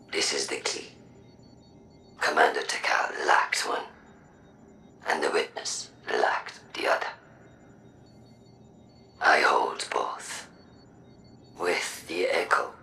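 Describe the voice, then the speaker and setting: A woman playing an imperious machine-mind villain in a video game, grandiose and coldly persuasive, in a breathy, processed voice. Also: extremely low pitch, sharp tone, exaggerated voice. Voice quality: breathy, whispery, gravelly. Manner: measured, grandiose, deliberate. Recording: echoing, distant, with music behind.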